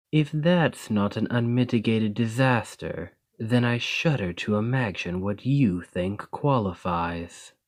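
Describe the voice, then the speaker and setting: A proud snake-bodied noble, formal and wry, speaking slow and low, as a man plays him. His words come unhurried and carefully measured, each phrase weighed before it is spoken. The voice sits close to the mic, as in an ASMR roleplay. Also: darkish tone. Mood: bitter. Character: proud male lamia noble, discouraged and stiff.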